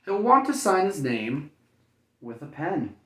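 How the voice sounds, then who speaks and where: A man's voice: a little high, big pitch swings, a little slow, pushed and bright. A man reading a children's picture book aloud like a kindergarten teacher, breaking off for playful, folksy, chatty asides. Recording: roomy echo, distant microphone.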